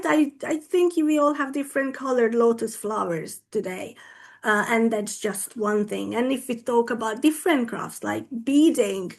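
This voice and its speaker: A woman whose first language is Hungarian, giving an online conference talk about her language teaching. She speaks deliberately and often checks in with her listeners. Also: a little high.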